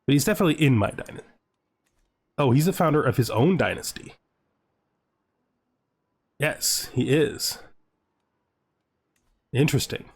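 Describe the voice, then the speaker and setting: A man's voice: mid-range voice, animated, measured pace, long pauses, husky. A man giving casual let's play commentary on a strategy game, musing aloud and reading game text out. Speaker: gaming video host. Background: background music.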